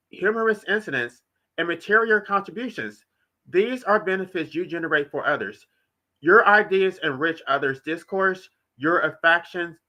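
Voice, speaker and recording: Stuffy-nosed guy on a livestream, nasal and kinda bright, reads a bit then hammers the point home. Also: a little high, low-quality recording. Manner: reads long passages aloud, then explains them in plain emphatic terms. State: congested, nasal. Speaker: author and channel host. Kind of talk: solo livestream reading and commenting on his own writing.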